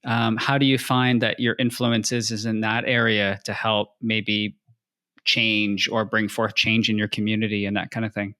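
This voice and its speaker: A man's voice: fairly low pitch, level tone, moderate pace, sharp tone. A man hosting a podcast interview, asking long, rambling questions that restate themselves. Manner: rambling, piles up rephrased questions. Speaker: podcast host. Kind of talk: casual interview chat.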